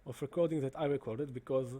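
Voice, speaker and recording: Guy walking through his software, soft dark voice, lots of uh's and restarts. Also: medium pitch, steady tone. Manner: halting, many fillers and restarts. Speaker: presenter demonstrating software. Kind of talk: screen-recorded explanation.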